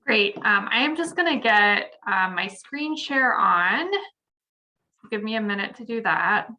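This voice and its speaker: A woman's voice: mid-range voice, expressive, a little slow, bright, forceful tone, highs cut off. A woman in her thirties, a college staffer, introducing herself and presenting informally on a video call. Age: 30s.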